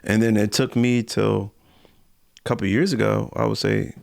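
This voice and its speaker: An American man co-hosts a podcast in loose, colloquial conversation. His voice is fairly low with plenty of vocal fry, and he repeats phrases for emphasis. It is recorded dry and close. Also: flat delivery, moderate pace, a little mellow, dry recording. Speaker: podcast host. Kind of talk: casual podcast chat.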